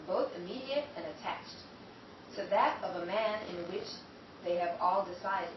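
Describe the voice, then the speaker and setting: A woman's voice: medium pitch, distant mic, noisy background. Woman narrating in a very echoey room. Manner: slow, ominous storytelling. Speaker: host and narrator. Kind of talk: 1930s-style radio drama narration. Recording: very echoey, dull lo-fi sound from off mic.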